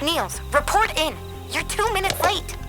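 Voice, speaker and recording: Bossy, very high-pitched teenage girl. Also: lively intonation, a little slow, loud music behind the voice. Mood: brisk, impatient. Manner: commanding, clipped. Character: bossy, urgent teenage girl leading a stakeout. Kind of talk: audio drama acting.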